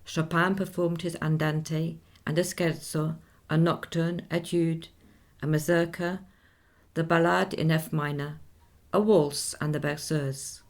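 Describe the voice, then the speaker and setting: An actress playing a woman who recounts a composer's life in measured, informative radio-play narration. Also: deep voice, flat delivery. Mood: composed.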